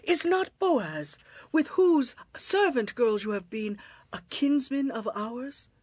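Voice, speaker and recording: An actress playing a wise older mother-in-law in a dramatized scripture reading, husky and measured, gently giving direction. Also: high-pitched, animated, no echo, heavily acted. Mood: gently instructing.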